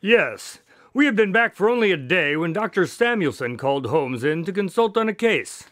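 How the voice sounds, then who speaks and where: An actor playing a courteous, curious doctor and detective's sidekick in a radio drama, with a polite manner. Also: male voice, sits high, big pitch swings, moderate pace, fairly bright tone, no echo. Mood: genial, storytelling.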